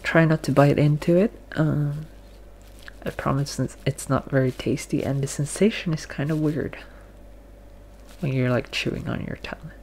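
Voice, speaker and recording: A young man doing a soft-spoken, often whispered ASMR dentist roleplay, halting and gently reassuring, speaking close through a face mask. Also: on the high side, steady tone, slight background noise. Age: young adult. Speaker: ASMR performer playing a dentist. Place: small plain room indoors. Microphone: close to the mic, facing camera, through a face mask.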